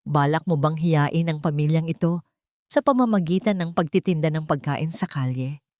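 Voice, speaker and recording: A woman's voice: low-pitched, normal speed, mellow, dry recording, clean studio sound, lightly acted. A woman voicing a cruel, haughty elderly matriarch in an audiobook drama, scolding and threatening in an imperious way. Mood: indignant, scornful.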